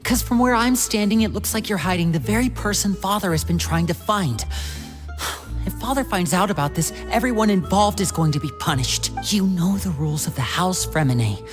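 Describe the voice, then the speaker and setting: A protective young man from a video game played by an actor, with a very expressive, swinging delivery. He questions sharply and articulately, and music plays clearly behind him. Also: mid-range voice, moderate pace, close to an everyday voice. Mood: stern, alarmed. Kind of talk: English game voice acting. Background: game background music.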